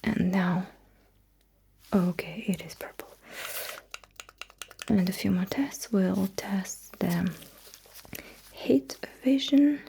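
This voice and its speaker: A woman performs an ASMR roleplay as a sci-fi technician in a breathy whisper. She speaks very slowly and softly, with a mellow tone and a reassuring, instructive manner. The microphone is close, with faint noise and clicking behind her. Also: mid-range voice.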